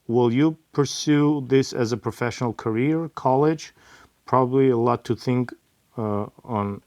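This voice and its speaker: A man's voice: medium pitch, darkish tone, no echo. Easygoing middle-aged man, dry close sound. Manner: easygoing, plain delivery with frequent "uh" pauses. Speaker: host, father of the bassist. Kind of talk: casual Q&A, reading viewer questions aloud.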